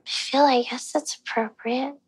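A thirty-year-old woman telling her story in a hesitant, halting way. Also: high voice, fairly even intonation, heavy background noise. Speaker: interviewee.

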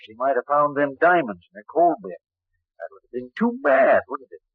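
A man's voice: fairly high pitch, sing-song, moderate pace, no room sound. An actor playing a shrewd, dry country lawman with a rural American accent in a 1940s radio mystery. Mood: accusing, sure. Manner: folksy, drawling country phrasing. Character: folksy, shrewd island lawman, dryly accusing. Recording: muffled old radio recording.

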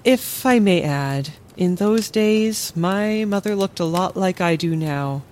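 A bookish scholar in middle age acted by a woman speaks politely and precisely. The delivery is measured and articulate, suited to a fantasy audio drama, with faint noise behind it. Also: on the low side, animated, a little mellow. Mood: wry, reflective. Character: courteous, bookish middle-aged scholar reminiscing with an old friend.

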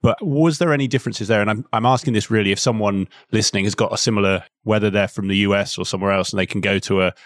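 A man's voice: fairly low pitch, steady tone, fast, few pauses, no room sound, studio quality. A man hosting a podcast, asking long, winding questions and correcting himself partway through. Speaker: podcast host, interviewer. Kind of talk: conversational podcast interview.

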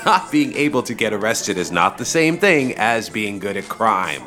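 An actress playing a grumpy, reluctant friend in an audio buddy comedy, exasperated, blunt and defensive. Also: female voice, extremely low pitch, sing-song, normal speed, a bit bright, full character voice. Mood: weary, stubborn. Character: grumpy, reluctant, put-upon friend resisting a scheme.

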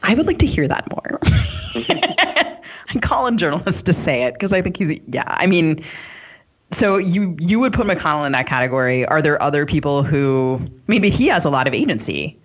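A woman's voice: deep voice, very animated, normal speed, breathy edge. Tentative female co-host, slightly muffled. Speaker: podcast co-host. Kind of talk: casual, thoughtful interview chat.

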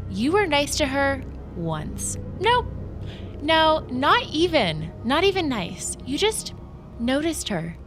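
A woman's voice: high voice, very animated, slow pace, over music, full character voice. An actress playing a smug, scornful young woman, a former popular mean girl, in an audio drama with sarcastic, sneering delivery. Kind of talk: audio drama acting, contemporary dialogue.

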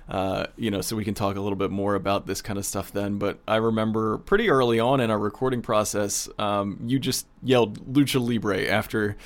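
A man with a slightly low voice speaks as a producer and co-host. His talk is casual and conversational, with an enthusiastic way of looking back on the work. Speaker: producer and co-host of an audio drama podcast. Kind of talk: casual behind-the-scenes chat.

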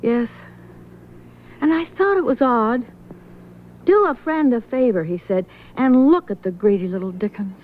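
A woman's voice: a little high, expressive, measured pace, pauses often. A woman playing an uneasy, hesitant widow confiding what she knows in a 1970s radio drama. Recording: muffled, slightly noisy vintage radio recording.

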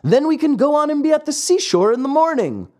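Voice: sits high, sing-song, fairly quick, darkish tone, pitched above the performer's own voice. A narrator voicing a little wooden marionette boy in a storybook, plaintive and dramatically exclaiming. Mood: eager.

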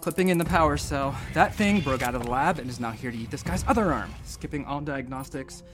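A man's voice: medium pitch, fairly quick, music in the background, natural voice. Man as a weary, dry space surgeon. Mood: urgent. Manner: dry, hesitant, terse. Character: weary, intense, awkward male surgeon in space. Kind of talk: audio fiction acting.

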